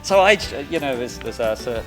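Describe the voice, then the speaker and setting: South African man, Afrikaans native, eager and emphatic, repeats himself, middle pitch, music clearly behind. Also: animated, fairly quick. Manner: enthusiastic, emphatic, repeats phrases. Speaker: missionary trainer. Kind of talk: role-play teaching skit.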